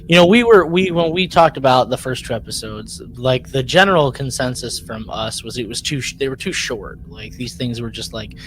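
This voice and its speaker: Rambling male podcast co-host, mid-pitched voice. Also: poor audio. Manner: rambling, halting, repeats words. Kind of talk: casual podcast chat.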